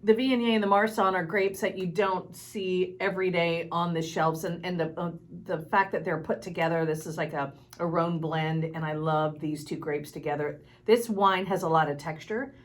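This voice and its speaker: A woman co-hosting a podcast in casual chat, bubbly and quick to laugh. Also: on the low side. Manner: bubbly, laughs often, excitable. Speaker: podcast co-host.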